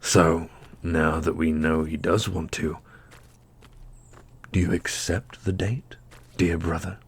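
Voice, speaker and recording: Man acting a very deep, raspy dark immortal. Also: little pitch movement, slow pace, heavily acted. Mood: smug teasing. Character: teasing dark immortal brother, wry and affectionate. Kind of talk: fantasy audio roleplay.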